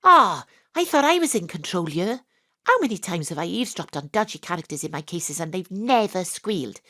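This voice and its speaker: A brash woman private detective narrates in a breezy, sweary, irreverent way. Her voice is fairly low. The sound is dry and close, as in a home studio. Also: very animated, a little fast, a bit bright, no room sound. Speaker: narrator and lead character. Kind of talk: first-person comic audio drama narration.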